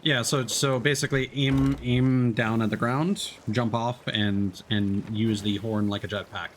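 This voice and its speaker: A man's voice: mid-range voice, steady tone, unhurried. A man streaming a game, explaining steps to a co-player with many fillers. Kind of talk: casual game chat. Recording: noisy stream audio.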